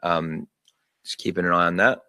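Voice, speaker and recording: A man's voice: deep voice, fairly even intonation, moderate pace, bright, forceful tone, no room sound, studio quality. A man hosting a live webinar, talking casually through slides with lots of fillers. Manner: casual, chatty, many fillers. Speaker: webinar host.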